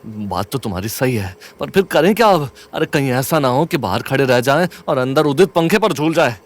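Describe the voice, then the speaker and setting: A man's voice: mid-range voice, expressive, a little fast, natural voice. A man playing a brash, boastful young college sidekick with an eastern Uttar Pradesh accent in a comic audio drama. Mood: bantering.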